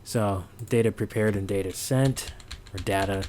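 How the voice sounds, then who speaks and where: Low-voiced man giving a halting tutorial. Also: noisy background. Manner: halting, thinking aloud. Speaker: sound designer giving a tutorial. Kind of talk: informal unscripted tutorial.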